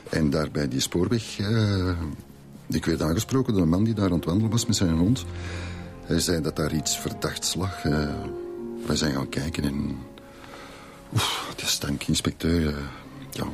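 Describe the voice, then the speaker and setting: Very deep-voiced, hesitant Flemish schoolteacher. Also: male voice, over music. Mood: uneasy, hesitant. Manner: hesitant, halting. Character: shaken, hesitant male schoolteacher and father, middle-aged. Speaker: actor playing a witness. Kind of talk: radio drama acting.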